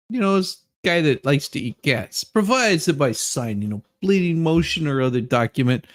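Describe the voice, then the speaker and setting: A man doing a playful, theatrical dramatic reading of a legal document, with casual asides. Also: fairly high pitch. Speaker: co-host reading a court ruling aloud.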